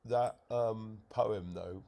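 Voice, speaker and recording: Man guest, earnest and emphatic, lowish voice. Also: fairly even intonation, very slow, creaky, mellow. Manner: earnest, emphatic, self-deprecating. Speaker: interview guest and fellow podcaster. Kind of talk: emotional conversation.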